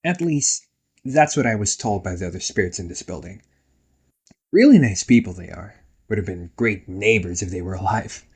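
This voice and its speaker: Guy doing a ghost brother for audio rp, husky n teasing, kinda naggy, super up and down intonation. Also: fairly low pitch, expressive, normal speed. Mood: playful concern. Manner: teasing, chatty, bantering. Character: playful, nagging young ghost brother of a detective. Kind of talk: casual one-sided roleplay audio acting.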